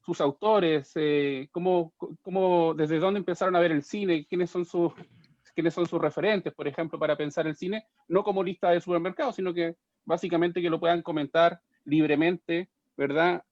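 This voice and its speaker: A man's voice: a little high, steady tone, moderate pace, darkish tone, dry recording, dull audio. A man with a Chilean accent moderating a panel, speaking with many fillers and repeated words. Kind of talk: hosting a panel.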